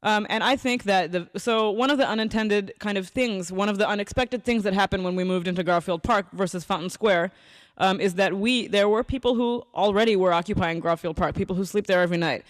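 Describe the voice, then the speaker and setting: A man's voice: sits high, fairly quick, dry recording. A man from Bangladesh, a protest organizer debating on talk radio, earnest and hesitant, often restarting his sentences.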